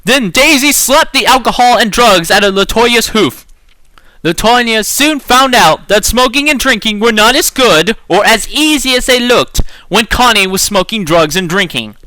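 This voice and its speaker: A man's voice: high-pitched, expressive, normal speed, pushed and bright, no room sound. A man giving an exaggerated, theatrical dramatic reading of a children's storybook. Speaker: amateur reader.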